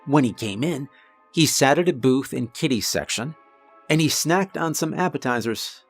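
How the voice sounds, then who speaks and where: Deep-voiced man narrating a horror story. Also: moderate pace, faint music behind. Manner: calm conversational storytelling with asides. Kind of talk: first-person scripted horror narration.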